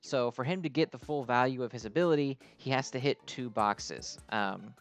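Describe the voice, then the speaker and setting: A man's voice: mid-range voice, normal speed. A man giving casual, unscripted game commentary as a video host, explaining things in a hesitant, rambling way.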